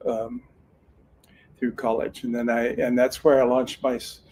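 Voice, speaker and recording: A man's voice: mid-range voice, little pitch movement, a little slow, a little mellow, breathy edge. Older man, retired lawman turned card reader: earnest, folksy storyteller, mid-range voice. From Northern California. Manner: earnest, folksy, with frequent restarts. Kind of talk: casual storytelling talk.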